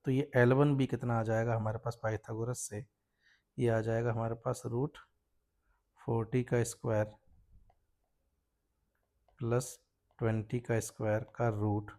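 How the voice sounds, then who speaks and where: A man's voice: mid-range voice, level tone, a little slow, pauses often, darkish tone. A man with an Indian accent, a physics teacher explaining a worked problem step by step and checking understanding with short tags.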